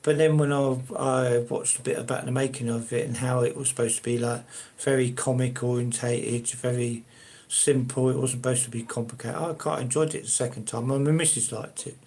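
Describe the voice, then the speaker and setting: An older man with a Cockney-ish South London accent chats with his viewers on a live stream. He talks casually at a mid pitch, with a hesitant, rambling manner, many fillers and restarted sentences. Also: fairly even intonation, fairly quick, hardly any pauses, a little mellow.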